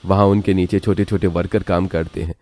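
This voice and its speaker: A man's voice: low-pitched, level tone, fairly quick, a little mellow. A man narrating a scripted cartoon family story.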